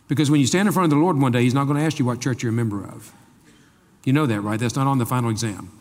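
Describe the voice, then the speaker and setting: A male pastor giving a folksy sermon, joking and asking the congregation quick questions. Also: mid-range voice, darkish tone. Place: church sanctuary. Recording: long reverb of a large hall.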